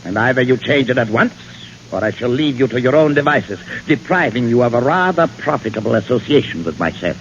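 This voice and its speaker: An actor playing a sinisterly polite gentleman villain with pompous, ornate manners in the theatrical style of old radio suspense. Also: medium pitch, lively intonation, a little fast, close to an everyday voice. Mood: haughty. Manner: pompous, ornate, mockingly courteous. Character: portly-mannered genteel villain posing as doctor, sinisterly polite. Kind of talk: 1940s-50s radio suspense acting. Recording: dull, noisy vintage radio recording.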